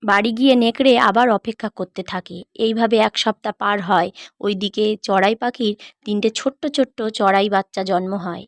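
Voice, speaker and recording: A woman's voice: mid-range voice, fairly quick, dry recording, studio quality. A woman narrator reading a scripted children's cartoon story.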